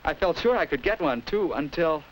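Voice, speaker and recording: An actor playing an anxious, earnest high school boy with an American accent, narrating hesitantly and confidingly in an old 1950s instructional film. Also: lively intonation, normal speed, slight background noise, close to an everyday voice, poor audio. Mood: troubled.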